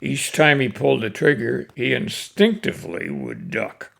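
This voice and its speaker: An older man with a folksy, unhurried manner, hosting and reciting cowboy poetry in short, paused phrases. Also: medium pitch, sing-song, vocal fry, fairly bright tone. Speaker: host reading cowboy poetry. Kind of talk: casual introduction and expressive poem recitation.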